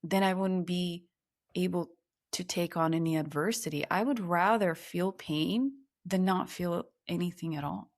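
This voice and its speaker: Podcast guest: woman from Ukraine, thirties, slightly low voice, earnest, reflective storytelling. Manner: reflective, earnest, slows down on emotional points. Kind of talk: personal storytelling in conversation.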